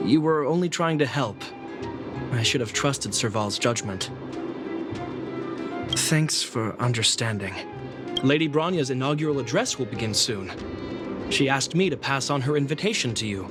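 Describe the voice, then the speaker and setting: A man's voice: mid-range voice, animated, moderate pace, long pauses, natural voice. A man voicing an earnest, courteous young guard captain in a video game, formal and sincere, with music behind him. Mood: apologetic.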